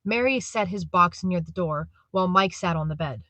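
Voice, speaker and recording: A woman's voice: on the low side, normal speed, fairly bright tone, no room sound. A woman giving scripted third-person narration for an audio drama.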